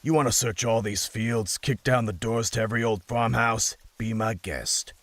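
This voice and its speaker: A man playing a gruff, jaded police detective in an audio drama, husky, with a folksy, grumbling, dismissive manner. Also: lowish voice, moderate pace, fairly bright tone, no room sound.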